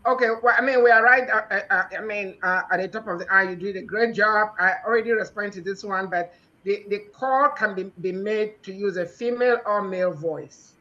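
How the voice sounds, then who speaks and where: Bright sharp-sounding moderator handing off speakers, dull call audio. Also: medium pitch. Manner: brisk, many fillers. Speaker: webinar moderator. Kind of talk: moderating an online session. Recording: muffled video-call audio.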